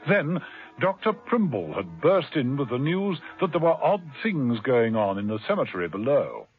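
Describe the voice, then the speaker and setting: A man narrating a 1970s radio drama in a dramatic, measured storytelling manner. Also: medium pitch, big pitch swings. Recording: tinny old radio broadcast with music.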